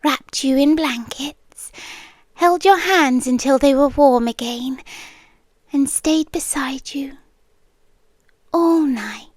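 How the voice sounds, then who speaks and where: A woman's voice: sits high, dry recording. A British woman playing a centuries-old possessive siren girlfriend in an ASMR roleplay, tender yet menacing, often sinking into whispers. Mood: eerie tenderness. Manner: slow, hushed, dropping often into whispers. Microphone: close, dry microphone.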